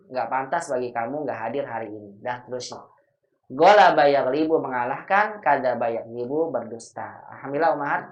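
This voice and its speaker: A man as an Arabic teacher giving a recorded grammar lesson, patient and slowly spelling out words. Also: medium pitch, reverberant, muffled recording.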